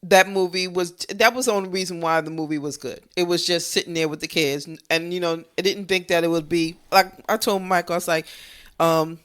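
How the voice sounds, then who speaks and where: Smooth-voiced woman in casual review chat. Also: fairly low pitch, steady tone, brisk, fairly bright tone. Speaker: co-host of a movie review show.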